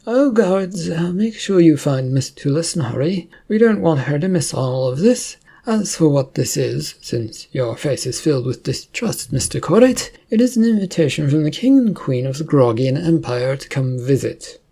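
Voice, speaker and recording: A man voicing a smooth, scheming male alien president who speaks formally and gives orders in a storybook reading. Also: on the high side, animated, normal speed, few pauses, warm tone, exaggerated voice. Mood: commanding.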